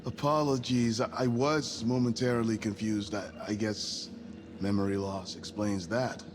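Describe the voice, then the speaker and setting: A man playing a low-voiced, halting starship crewman. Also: little pitch movement, background noise, natural voice. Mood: confused, subdued. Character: polite, disoriented male starship crewman. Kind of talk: subdued game cutscene acting.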